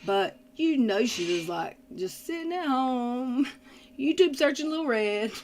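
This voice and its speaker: A woman's voice: sits high, lively intonation, takes it slowly, darkish tone, a little hiss or hum. A woman hosting a casual livestream panel chat, talking informally with exclamations and folksy slang. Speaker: livestream host.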